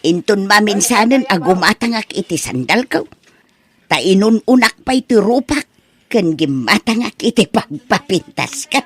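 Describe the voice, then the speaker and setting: A woman's voice: mid-range voice, animated, a little slow, a bit bright, breathy edge. A woman playing an elderly, grumbling mother who is stingy yet vain, in an Ilocano comedy audio drama. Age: older.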